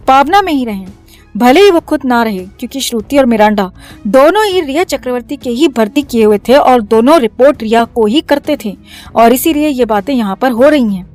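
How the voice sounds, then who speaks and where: A woman's voice: high-pitched, a little mellow. A woman reading a scripted news commentary as a voice-over narrator. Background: background music.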